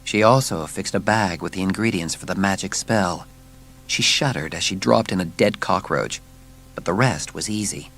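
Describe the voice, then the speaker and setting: A man's voice: deep voice, moderate pace, creaky, fairly bright tone. A man narrating a scripted children's story as an audiobook narrator.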